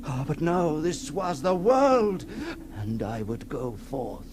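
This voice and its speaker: A man playing a tormented, awestruck figure emerging from long confinement in a theatrical 1960s radio horror monologue, halting and breathless, repeating his words. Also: a little high, very animated. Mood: feverish elation. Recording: noisy old 1960s radio recording.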